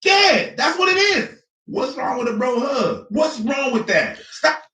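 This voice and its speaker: A man with a high voice that swings widely chats in teasing, animated podcast banter. The clip sounds roomy and echoey. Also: a little slow. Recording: roomy played-back clip.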